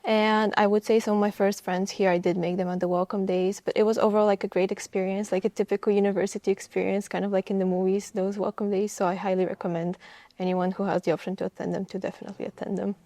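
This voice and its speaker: A young woman from Bulgaria, a student, talks through her answers in an earnest, upbeat way and leans on "I would say". Her voice is mellow and soft-edged, and the sound is close and dry, with no room around it. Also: medium pitch, level tone, fast, dry recording. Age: young adult. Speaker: university student guest. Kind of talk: casual interview answers.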